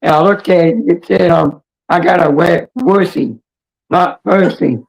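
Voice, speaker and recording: A woman with a hoarse, raspy voice hosting a casual livestream chat in a rambling, halting, blunt way. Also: on the low side, a little mellow, low-quality recording. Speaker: livestream host.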